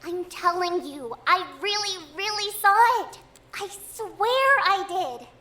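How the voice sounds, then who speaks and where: A woman's voice: very high-pitched, lively intonation, takes it slowly, bright, forceful tone, heavily acted. An actress voicing an earnest, insistent young girl who speaks emphatically.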